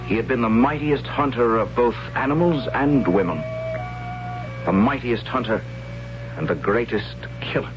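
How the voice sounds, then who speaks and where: An actor playing a gentle, questioning young tribesman in a 1970s radio drama, earnest and hesitant. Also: mid-range voice, normal speed, over music, natural voice. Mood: reflective. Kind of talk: 1970s radio drama acting, narrating and in character. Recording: dull lo-fi 1970s radio recording.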